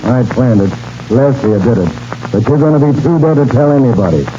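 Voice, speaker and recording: An actor playing a boastful, mocking outlaw killer in a 1940s radio western, threatening and gloating. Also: male voice, mid-range voice, lively intonation, brisk, noisy background, lightly acted. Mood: cold menace. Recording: dull vintage radio recording with music.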